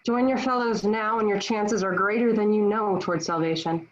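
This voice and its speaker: A woman's voice: medium pitch, fairly even intonation, normal speed, slight background noise, lightly acted. A woman playing a serene, forgiving, saintly young warrior with composed, formal delivery in a play read over an online call. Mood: serene exalted. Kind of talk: acting in a virtual table read. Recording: dull lo-fi online call audio.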